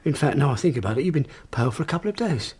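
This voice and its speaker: A man's voice: mid-range voice, big pitch swings, rapid-fire, darkish tone, slight background noise, lightly acted. A man playing an evasive, easily flustered middle-aged husband and father in an audio drama. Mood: guarded, tender.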